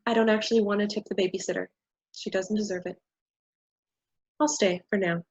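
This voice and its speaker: A woman's voice: medium pitch, steady tone, fairly quick, lightly acted. A woman playing a haughty, scornful, condescending character in a staged play reading. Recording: dull lo-fi recording.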